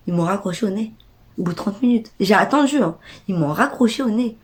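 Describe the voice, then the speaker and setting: A woman's voice: medium pitch, animated, normal speed, darkish tone. A young woman with a stuffy nose from a cold tells an indignant, slangy story straight to her viewers. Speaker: vlogger. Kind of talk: casual storytime rant.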